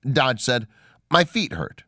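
A man's voice: medium pitch, big pitch swings, takes it slowly, bright, forceful tone, no echo, clean studio sound. A man reading scripted narration in the style of a documentary.

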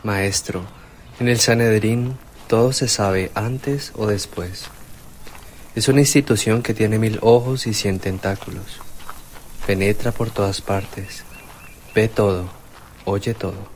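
Guy playing an anxious respectful disciple in a bible radio drama, lowish voice, faint outdoor noise. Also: steady tone, slow. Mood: earnest, worried. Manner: deferential, earnest. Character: earnest, respectful male disciple, cautious and anxious. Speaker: actor in a religious radio play.

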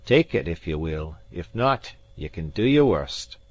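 Man doing Highland exile, proud, forceful, loud, deep, dry close sound. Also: sing-song, unhurried, no echo. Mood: defiant. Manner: forceful, defiant declamation. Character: proud exiled Highland gentleman, middle-aged man, bold. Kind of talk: audiobook character voice.

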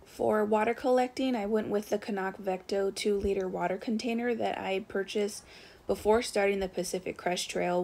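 A woman narrating a casual review of her thru-hiking gear, relaxed, with frequent fillers as she recommends items. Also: medium pitch.

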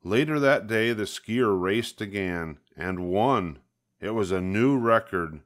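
Older guy reading a kids picture book, really low voice, takes his time, expressive. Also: slow pace. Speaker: storybook reader. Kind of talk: scripted narration of a children's picture book.

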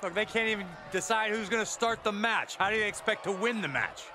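A man acts as an impatient male wrestling commentator in a video game. His voice is high, with clear arena noise behind it. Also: a little fast, crowd noise, lightly acted. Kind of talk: sports commentary.

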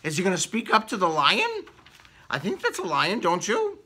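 A man's voice: high-pitched, wildly swooping pitch, moderate pace, sharp tone, slightly rough. A man reading a picture book aloud to children, theatrical, with casual questioning asides. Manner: theatrical, asks the young listeners questions. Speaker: children's story reader. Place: indoor room with book cut-outs.

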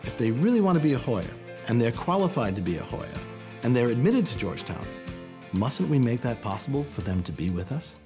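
A man's voice: medium pitch, sing-song, fairly quick, music in the background. An older man connected with the university speaking persuasively in a documentary interview. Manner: persuasive, questioning.

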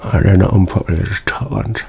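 A man's voice: low-pitched, fairly even intonation, quick pace, gravelly, mellow, slight background noise. A man in his thirties with a slight lisp from new teeth aligners, chatting casually in a home vlog while fiddling with his teeth. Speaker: vlogger. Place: home room by a window.

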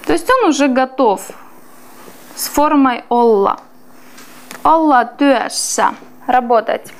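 A woman in her thirties teaching a language class in a small classroom, slowly and clearly drawing out foreign words. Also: a little high, a little hiss or hum. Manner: slow, clearly drawn-out pronunciation of foreign words.

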